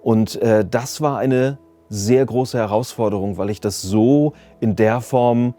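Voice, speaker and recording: A man's voice: medium pitch, a little slow, dark tone. A man, explaining his work as a sound designer in a casual interview. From Germany. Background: music.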